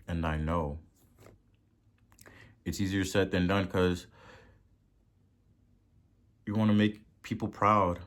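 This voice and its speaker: A man with a Haitian accent delivers a motivational podcast talk in a slow, deliberate way. His voice sits low and he preaches, repeating words for emphasis. He swells to loud, emphatic peaks. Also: fairly even intonation. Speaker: podcast host.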